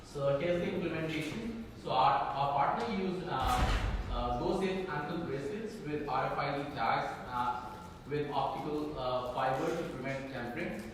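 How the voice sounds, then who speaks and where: A man's voice: fairly high pitch, moderate pace, background noise. Hesitant male presenter in an echoey hall. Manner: hesitant, many fillers and restarts. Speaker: conference presenter. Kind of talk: conference talk with Q&A. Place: hotel conference room on stage. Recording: echoey hall, far from the mic.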